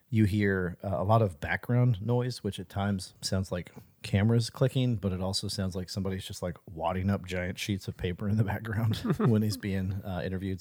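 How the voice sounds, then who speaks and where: A man's voice: low voice, a little mellow, no echo, studio quality. A man co-hosting a podcast, introducing a clip in a loose, rambling way with fillers. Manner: rambling, many fillers and restarts. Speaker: podcast co-host. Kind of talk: casual podcast chat.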